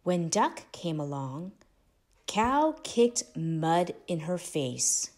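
A woman reads a picture book aloud at a very slow pace. Her slightly low voice rises and falls in an animated way, with dramatic emphasis, over music. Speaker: storybook narrator, host of read-aloud channel. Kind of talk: expressive children's read-aloud.

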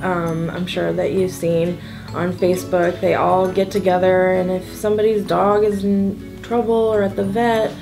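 A woman's voice: on the low side, flat delivery, a little slow, gravelly. Chatty woman in a sit-down interview. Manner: chatty, upbeat, with frequent ums. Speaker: event co-founder. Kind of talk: casual interview answers. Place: indoor room at a table. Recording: soft music bed underneath.

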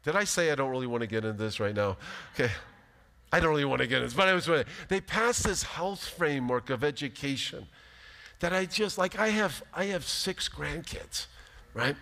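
A pastor in his fifties giving an animated, emphatic sermon from a church stage. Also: a little high, a little fast, vocal fry. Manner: animated, emphatic preaching with big gestures. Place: large church stage, indoors. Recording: long hall reverb.

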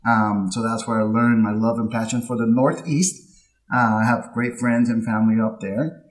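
A man from Puerto Rico in his late thirties talks through his career in a loose, chatty way, laughing often and acting out old conversations. His voice sits at an ordinary middle pitch. He speaks into a headset mic on a video call from his home office. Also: normal speed, low-quality recording. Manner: chatty, animated with hand gestures, laughs often. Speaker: insurance agency owner, guest. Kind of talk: casual interview storytelling. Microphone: headset with boom mic on video call.

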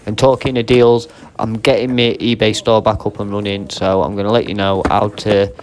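A man with an English accent and a low voice talks casually to his viewers as a vlog host. He is chatty and informal, addressing them directly as he browses and comments. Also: little pitch movement, moderate pace, a little mellow. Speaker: video host and reseller. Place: indoor auction warehouse.

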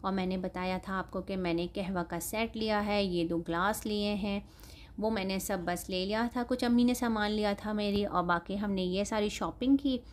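A woman's voice: mid-range voice, normal speed, slight background noise. A woman in her thirties with a Pakistani Urdu accent, talking casually in a shopping haul vlog and frankly judging prices and quality item by item. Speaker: vlogger. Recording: voice-over with loud background music. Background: music.

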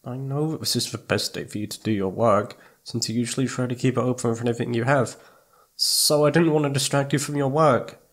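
A man playing a needy, affectionate young boyfriend in an intimate ASMR roleplay, speaking in a soft, pleading, hesitant way. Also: medium pitch, fairly even intonation, fairly quick, natural voice. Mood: shy, lonely. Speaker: audio roleplay voice actor.

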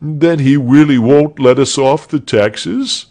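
A man voicing an excitable crowd of rebellious townsfolk in a storybook, in an exclamatory, loud manner. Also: mid-range voice, slow pace, voice pushed up. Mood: disappointed.

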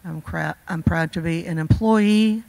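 An older woman speaks slowly and earnestly at a podium, pausing often and filling gaps with small hesitations. Her voice is mid-pitched and close to the mic, with a dry, direct sound. She talks like a longtime teacher addressing a school ceremony. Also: vocal fry, a little mellow, dry recording. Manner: earnest, with many fillers and pauses. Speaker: teacher presenting awards. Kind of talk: heartfelt speech at a school ceremony. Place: school auditorium stage at a podium. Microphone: podium microphone.

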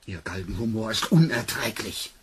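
An actor playing a precise, irritable scientist in a German sci-fi audio drama, with a clipped, technical delivery. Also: male voice, on the low side, lively intonation, normal speed, a little hiss or hum, natural voice. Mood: irritated. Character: anxious, precise male scientist, irritable subordinate.

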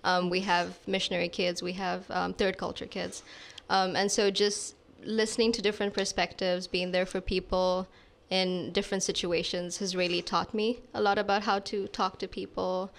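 A woman's voice: fairly low pitch, little pitch movement, normal speed. A young woman from Zambia, a senior student, answering interview questions thoughtfully with frequent ums. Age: young adult. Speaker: student guest. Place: studio room. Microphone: studio mic on boom arm.

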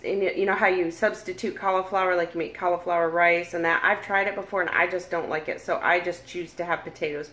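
A woman's voice: fairly low pitch, a bit bright. A woman in her forties chatting to viewers as she cooks and tastes a meal in her home kitchen, filmed on a camera held at arm's length. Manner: chatty, talks to viewers directly. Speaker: cooking vlogger. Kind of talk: casual cooking walkthrough. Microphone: camera mic at arm's length. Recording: echoey kitchen with sizzling.

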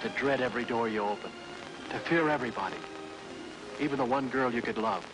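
An actor playing a hunted young American aircraft worker, narrating an old film trailer in an earnest, urgent way over music. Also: male voice, medium pitch, a little fast, natural voice, rough recording. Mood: tense.

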